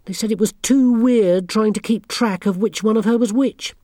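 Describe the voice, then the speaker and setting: A woman's voice: fairly high pitch, moderate pace, warm tone, no echo, studio quality, pitched above the performer's own voice, natural voice. A woman voicing a haughty, bossy teenage girl witch who talks down to everyone in a sneering, imperious way.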